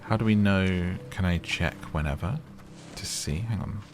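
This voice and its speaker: A man's voice: deep voice, normal speed. A man casually commenting on a relaxing game as he plays, chatty and easygoing, speaking straight to his viewers. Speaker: gaming YouTuber. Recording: game music playing behind. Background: soft game music.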